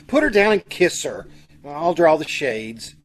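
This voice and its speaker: A man's voice: fairly high pitch, big pitch swings, a little slow. Man acting a nosy pompous bellhop, old radio comedy, voice swoops all over, fussy and chatty. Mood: officious. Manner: chatty, fussy, self-important, swooping delivery.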